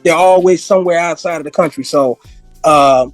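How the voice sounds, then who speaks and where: A man with a high voice, hoarse because he is losing it, tells long rambling stories as a podcast guest. He repeats himself and laughs a lot. The sound is dry and close, with faint music underneath. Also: normal speed, no echo. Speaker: guest, music industry veteran. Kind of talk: casual interview conversation.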